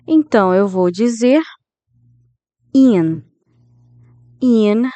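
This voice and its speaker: A Brazilian woman in her thirties teaching English grammar, who keeps checking in with her students and slows down to model English phrases. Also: on the high side, lively intonation, warm tone, no room sound, dull audio. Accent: Brazilian Portuguese. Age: 30s. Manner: didactic, checks in with listeners often. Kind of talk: grammar lesson.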